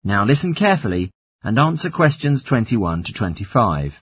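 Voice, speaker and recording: A man's voice: lowish voice, big pitch swings, normal speed, slightly rough, no echo. A man reading scripted listening-test instructions as an exam narrator.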